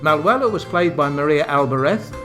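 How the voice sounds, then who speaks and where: Casting: male storyteller, highish and lively pitch, wry theatrical delivery, light guitar music behind. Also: a little fast. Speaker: narrator. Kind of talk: scripted comic narration, mock-grand.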